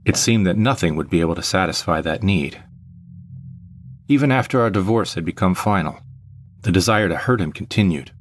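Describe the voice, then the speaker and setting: A man's voice: low-pitched, animated, a little fast, dry recording. A man playing a brooding, vengeful jilted ex-husband, narrating an audio drama in the first person with melodramatic deliberation.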